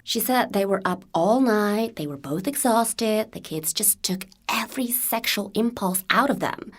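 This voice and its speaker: A woman playing a wistful, candid American woman in a conversational, confiding dramatic monologue about her own life. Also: medium pitch.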